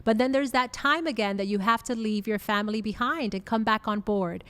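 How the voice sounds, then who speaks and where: A woman's voice: a little high, brisk, fairly bright tone, smooth, long reverb tail. A woman hosting an interview podcast, upbeat and effusive as she introduces and questions her guest. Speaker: podcast host.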